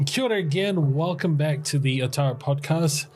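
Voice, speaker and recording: A man's voice: a little high, moderate pace, music in the background. A man hosting a community podcast in an upbeat, enthusiastic, playful way. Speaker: podcast host.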